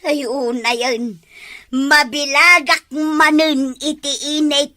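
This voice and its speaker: Woman, Ilocano accent, high voice, bright tone, gossipy chatter. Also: steady tone, takes it slowly, dry recording, studio quality. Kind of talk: Ilocano comedy skit acting.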